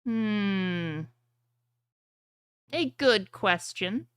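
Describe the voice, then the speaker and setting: A woman playing a sly, slow-speaking chaos witch. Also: medium pitch, expressive, clean tone. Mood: coy. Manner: slow, teasing, formal speech. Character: sly, smug chaos witch, calm and secretive. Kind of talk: tabletop roleplay dialogue.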